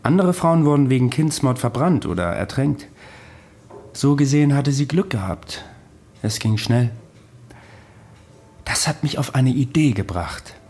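An actor playing a weary immortal man who recounts his past in a radio drama, dry and deadpan. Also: mid-range voice, husky. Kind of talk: German radio drama dialogue.

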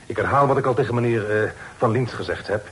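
An actor playing a courteous, principled and somewhat impatient young gentleman in a radio play, speaking with reserved, emphatic politeness. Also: fast, close to an everyday voice, low-quality recording. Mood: firm, cautioning. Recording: old radio play, faint hiss and rumble.

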